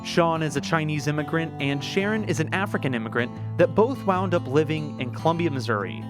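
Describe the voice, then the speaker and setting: A man hosting a radio podcast, reading his script in a measured, clear voice. His voice sits a little high. Guitar music plays clearly underneath. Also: expressive. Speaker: podcast host. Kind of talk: scripted narration.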